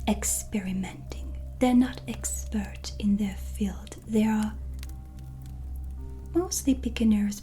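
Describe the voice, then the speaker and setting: A woman whispers slowly and breathily in a mellow, soothing voice. She is a non-native English speaker playing a kindly witch in an ASMR tarot roleplay. Faint music plays behind her. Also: a little high. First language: not English. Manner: gentle, unhurried, soothing. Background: soft relaxing music.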